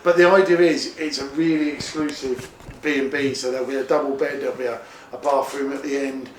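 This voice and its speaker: A man giving a casual, informal explanation as a guide, halting and repeating words as he goes. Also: mid-range voice, steady tone. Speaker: tour guide, fairground owner. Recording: echoey, noisy yard ambience.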